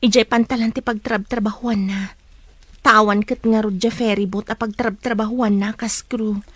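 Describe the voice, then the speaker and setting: High-voiced earnest young Ilocano woman, acted by a woman. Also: fairly even intonation, normal speed, no room sound, natural voice. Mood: concerned, questioning. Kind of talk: Ilocano radio drama acting.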